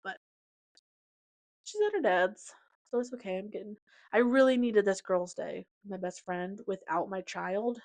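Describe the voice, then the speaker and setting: A woman in her late twenties talks straight into a close mic in a dry, dead room, with no echo at all. Her voice sits at a middle pitch for a woman. She rambles casually and animatedly through a personal update, full of slang and quick asides. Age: 20s. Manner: chatty, animated, hops between topics with slang. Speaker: podcast host.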